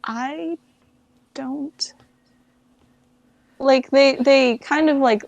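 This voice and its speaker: A woman's voice: sits high, drawn-out words, vocal fry, darkish tone, no room sound, highs cut off. An American woman giving an interview as a guest, chatting informally and thinking aloud. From United States. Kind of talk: casual chat.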